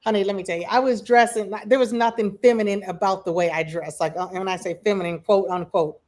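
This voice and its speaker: A chatty woman in her forties with a Midwestern American accent, co-hosting a relaxed livestream. She tells stories with lots of "like" and "um" and quick asides, and her pitch sits in a middle range. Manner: animated storyteller, frequent "like" and "um", quick asides. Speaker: livestream co-host. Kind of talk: casual chat.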